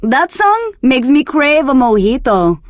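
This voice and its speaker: Flirtatious radio DJ from Argentina for a game: high, breathy, teasing, very dry close sound. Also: female voice, sing-song, a little slow, no echo. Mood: flirty, playful. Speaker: radio DJ host. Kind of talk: scripted radio DJ patter for a video game.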